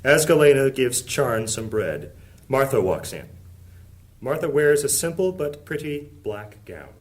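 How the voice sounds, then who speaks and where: A man narrating a fantasy audio drama in a solemn, measured storytelling manner. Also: mid-range voice, very animated. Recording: background music under the voice.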